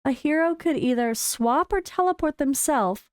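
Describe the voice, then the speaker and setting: High, slightly hoarse American woman gamer. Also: moderate pace, dry recording, studio quality. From United States. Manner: chatty and excitable, with frequent fillers. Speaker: gaming content creator. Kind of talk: casual commentary reading developer notes.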